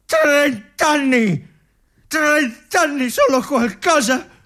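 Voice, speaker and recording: An actor playing an ailing, forsaken veteran lieutenant at a mountain fortress in a theatrical monologue, halting and repeating his words with brooding bitterness and yearning. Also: very high voice, bright, forceful tone, full character voice. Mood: pleading. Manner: halting, repeating words, brooding, swinging from whispers to anguished cries. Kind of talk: theatrical monologue, literary stage drama acting.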